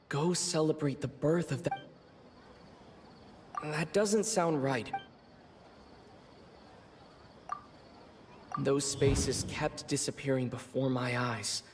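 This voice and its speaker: An actor playing a confused man in a video game, halting and repeating himself as he puzzles things out. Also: mid-range voice, lightly acted. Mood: puzzled. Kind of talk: video game character acting. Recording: long hall reverb, clear background noise.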